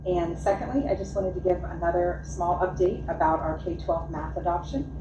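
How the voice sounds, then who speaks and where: A woman giving a measured, businesslike update at a school board meeting. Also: fairly low pitch, fairly even intonation, highs cut off. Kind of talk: meeting report. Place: meeting room. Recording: echoey room, far mic, noisy.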